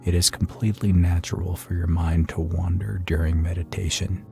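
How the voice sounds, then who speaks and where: Male, low-pitched, gravelly creak, soothing and unhurried, guided meditation narration, long pauses. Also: level tone. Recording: soft music behind the voice. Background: faint meditation music.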